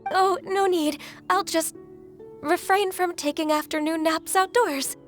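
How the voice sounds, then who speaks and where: A woman voicing a gentle, shy young woman in a fantasy game, polite and hesitant. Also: extremely high pitch, fairly even intonation, unhurried. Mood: flustered. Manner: soft-mannered, hesitant, polite. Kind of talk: video game voice acting. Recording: game dialogue over background music.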